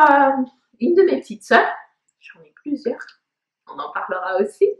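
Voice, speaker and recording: A woman's voice: a little high, a bit bright. A woman in her thirties, originally from Congo, talking in a casual personal vlog, reflective and hesitant with many fillers. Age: 30s. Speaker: vlogger. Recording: echoey room.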